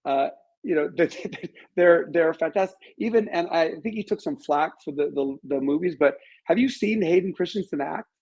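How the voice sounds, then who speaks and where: A man's voice: a little high, moderate pace, a little mellow. A middle-aged American man speaking as a guest in a casual interview, in an emphatic, opinionated way.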